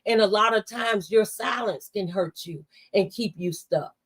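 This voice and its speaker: A woman's voice: medium pitch, a little slow, fairly bright tone, husky. A woman radio host talking conversationally in a preacherly, testifying way, offering faith-based encouragement. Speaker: radio talk show host.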